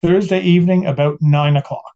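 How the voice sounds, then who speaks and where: Man playing a terse, higher-voiced police witness, slightly muffled. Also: fairly even intonation, brisk, mellow, close to an everyday voice. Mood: guarded, terse. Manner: clipped, hedging answers. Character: police captain testifying as witness at 1890s trial, terse, careful. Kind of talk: audio reenactment of a court transcript.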